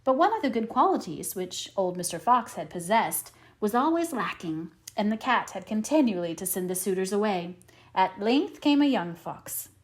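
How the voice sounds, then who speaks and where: Female storyteller for fairy tale narration, highly expressive pitch, mid register. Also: moderate pace. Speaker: storyteller, audiobook reader.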